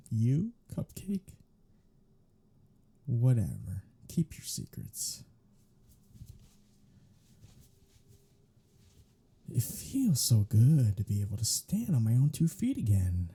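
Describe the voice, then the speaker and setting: A sardonic male vampire, acted out by a man, grumbles and teases in an intimate ASMR audio drama. His voice is very dark and heavily husky, with a gravelly creak. He drawls his mockery with irreverent sarcasm. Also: mid-range voice, huge pitch swings, slow pace, exaggerated voice. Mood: teasing, sarcastic.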